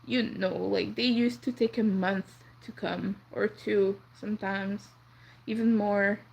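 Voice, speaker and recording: A young woman whose first language is French, chatting softly and rambling on a crochet livestream. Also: mid-range voice, fairly even intonation, slow, gravelly, husky, rough recording. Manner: soft-spoken, rambling, counts under her breath. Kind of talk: casual chat while crocheting.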